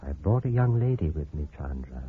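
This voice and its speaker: Low-voiced grave radio-drama doctor. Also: male voice, big pitch swings, a little fast. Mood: calm, coaxing. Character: grave, considerate male doctor. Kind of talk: old-time radio drama acting. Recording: muffled, hissy old radio recording.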